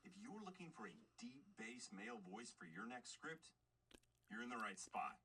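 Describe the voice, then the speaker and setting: A smooth announcer , voiced by a man playing back his own demo, reads an ad in a deliberate, lively pitch. The sample sounds distant from the mic, with faint noise underneath. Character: male announcer in a sample recording. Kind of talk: voice-over sample ad read.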